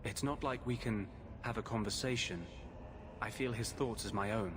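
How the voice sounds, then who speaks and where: An actor playing a tormented mage possessed by a vengeful spirit, speaking gravely and confessionally in a video game. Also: male voice, fairly low pitch, moderate pace, background noise, natural voice. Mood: troubled, confessional. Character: tormented male mage sharing his body with a vengeful spirit. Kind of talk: dramatic video game acting.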